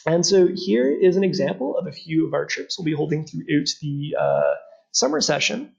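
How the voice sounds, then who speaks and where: A young man speaks to students in a webinar, his slightly raised voice husky and gravelly. He pauses often on "uh" as he explains things earnestly, walking through slides for a program abroad. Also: fairly high pitch, wildly swooping pitch, a little mellow, lo-fi sound. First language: not Czech. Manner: frequent "uh" fillers, earnest and explanatory. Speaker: study abroad program presenter. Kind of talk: webinar presentation over slides.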